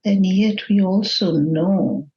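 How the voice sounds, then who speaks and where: A woman leading a guided meditation in a gentle, measured manner with long pauses between phrases. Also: medium pitch, dull audio.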